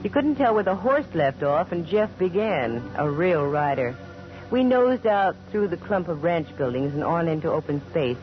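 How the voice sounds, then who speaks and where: An actress playing a wry, self-assured private investigator, dry and breezy, in 1940s radio acting and narration. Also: female voice, on the low side, expressive, moderate pace, soft background music, close to an everyday voice. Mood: narrating. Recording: muffled old radio recording.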